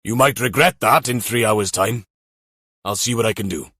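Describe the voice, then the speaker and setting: A man's voice: on the low side, normal speed, sharp tone, breathy edge, no room sound, clean studio sound. A man voicing a helpful, persistent male police officer with a practical, conversational manner in an audiobook. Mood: practical, friendly.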